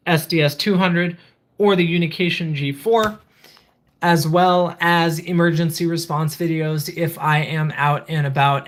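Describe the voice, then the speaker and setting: Casting: channel host for a casual livestream review, mid-pitched voice, methodical step-by-step explainer.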